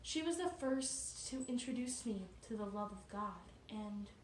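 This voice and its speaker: A woman playing a devout religious sister from eighteenth-century France as an interview guest, speaking earnestly and a little haltingly in an amateur role-play. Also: mid-range voice, animated, close to an everyday voice. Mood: tender, wistful. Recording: roomy, distant mic with background noise.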